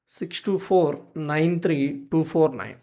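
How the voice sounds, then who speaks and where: A tutorial presenter narrating step-by-step software instructions in short, clipped commands. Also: mid-range voice, slow pace.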